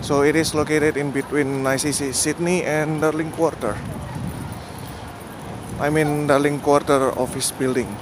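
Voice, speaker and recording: A man narrating a walking tour outdoors, pointing out landmarks slowly and plainly, with pauses and fillers. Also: a little high, level tone. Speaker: vlogger giving a walking tour. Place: outdoors on rainy city streets. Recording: outdoor city noise behind the voice.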